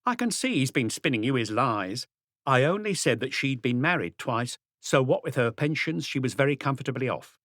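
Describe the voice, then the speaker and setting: Casting: male reader as stony, defensive middle-aged woman for audiobook, raised pitch, swooping delivery, scornful and curt. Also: big pitch swings, normal speed, fairly bright tone, no echo, clean studio sound. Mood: wary. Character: stony-faced, tough, distrustful middle-aged French woman, defiant and defensive. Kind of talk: audiobook character dialogue.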